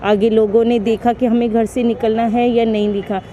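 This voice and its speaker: A woman's voice: on the high side, flat delivery, moderate pace, mellow, a little hiss or hum. A woman answering a street interview in a matter-of-fact way.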